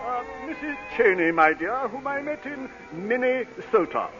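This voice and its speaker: An actor playing a courteous, fussy young English lord, stammering and apologetic, in old-time radio drama style. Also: male voice, very high voice, expressive, a little slow, sharp tone, soft background music, heavily acted. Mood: eager, bashful. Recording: dull, lightly noisy vintage radio recording.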